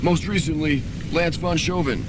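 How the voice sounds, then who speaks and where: A man's voice: normal speed, lightly acted. A man playing a college student in a student radio comedy drama. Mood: furtive, secretive. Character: male college student, needling friend and furtive informant. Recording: noisy student radio recording with rumble.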